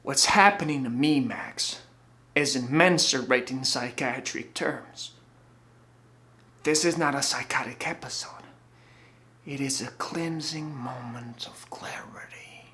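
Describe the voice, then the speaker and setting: A man playing an exalted, feverish visionary in a dramatic monologue, halting and grandiose, breathy and often close to a whisper. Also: mid-range voice, sharp tone. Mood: hushed exaltation.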